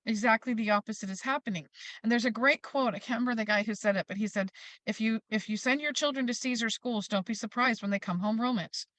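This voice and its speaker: Higher-voiced American woman, emphatic advocate. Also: quick pace, dry recording, rough recording. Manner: emphatic, animated storytelling with acted-out quotes. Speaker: interview guest, parents' advocacy group leader. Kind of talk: passionate advocacy talk in an interview.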